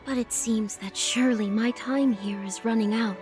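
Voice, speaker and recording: An actress voicing a gentle, solemn, fading woman in a dramatic video game, speaking softly and earnestly. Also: fairly high pitch, steady tone, close to an everyday voice. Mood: solemn, grateful. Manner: slow, soft, earnest delivery. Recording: voice under clear background music. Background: music.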